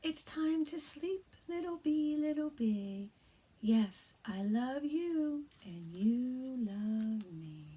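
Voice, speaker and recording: A woman teacher in her thirties reads a bedtime board book to kindergarteners, gentle and encouraging. She speaks slowly, in an unhurried way. Her voice is husky and breathy, and it often sinks into a hush. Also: high voice, animated. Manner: soft, hushed reading, often whispering; gentle and encouraging to young children. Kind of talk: read-aloud of a bedtime picture book.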